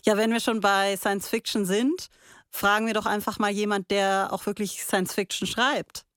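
A woman's voice: medium pitch, little pitch movement, normal speed, fairly bright tone, clear, resonant tone, no echo, studio quality. A woman hosting a casual analytical discussion, summing up and probing with questions. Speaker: discussion host.

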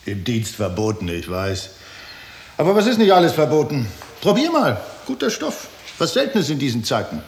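An actor playing a boozy, suspicious department head who wheedles and insinuates in a 1960s radio play. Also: male voice, medium pitch, wildly swooping pitch, moderate pace. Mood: wheedling. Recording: roomy old radio recording, hiss and clicks.

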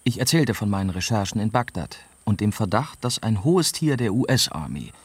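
A man's voice: lowish voice, animated, moderate pace, breathy edge, no echo. A man playing a young journalist who narrates his own adventure in a scripted radio drama. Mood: tense, factual. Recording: music behind the voice.

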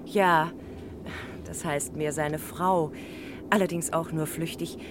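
Chatty lower-voiced woman on noisy train. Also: fairly even intonation, slow pace. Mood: gossipy, confiding. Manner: chatty, gossipy. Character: chatty woman of thirty-nine, former housemaid. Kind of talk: 1960s German radio drama acting. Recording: 1960s mono radio, loud train noise. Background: rumbling train ride.

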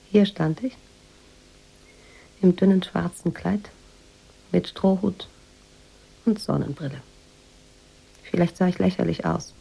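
An actress playing a reflective woman writer, narrating her memories in a measured, hushed, introspective way in a literary radio drama. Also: on the low side, gravelly, husky, exaggerated voice, rough recording. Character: reflective American woman writer in 1950s Tangier, recalling.

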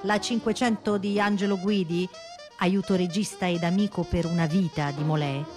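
Donna che narra in modo teatrale, con musica. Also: female voice, fairly low pitch, steady tone, normal speed. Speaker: radio narrator and reader. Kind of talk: scripted dramatic narration for a radio theatre programme. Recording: music under the voice.

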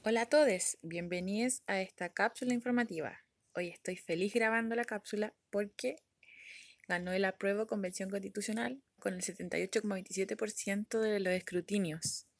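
A woman's voice: mid-range voice, moderate pace, gravelly, slightly rough, no room sound. A Chilean woman presenting a scripted informational podcast segment in a formal, explanatory way.

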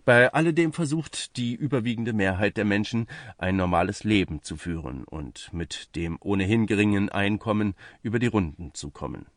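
Low-voiced man reading a news article. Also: expressive, moderate pace, few pauses, dry recording, studio quality. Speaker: reader of a translated news article. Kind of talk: scripted narration, read-aloud political journalism.

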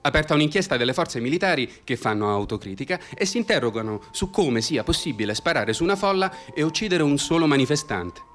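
Pompous army radio announcer over music. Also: male voice, a little high, big pitch swings, fast talker, hardly any pauses, fairly bright tone. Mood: brisk bulletin. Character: mock-solemn male announcer of a satirical army radio programme. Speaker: radio presenter. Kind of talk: scripted satirical radio drama announcing.

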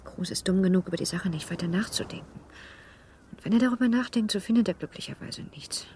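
A woman's voice: medium pitch, fast talker, a little mellow, slight background noise, lightly acted. An actress in a German radio play playing a spirited, coquettishly reproachful young married noblewoman with a pert, genteel manner. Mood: indignant, teasing.

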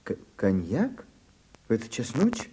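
A man's voice: mid-range voice, huge pitch swings, measured pace, mellow, a little hiss or hum. A man playing a fussy, anxious, deferential secretary to a grand lady in a Soviet radio drama, hesitant and prim. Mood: fussy, disapproving.

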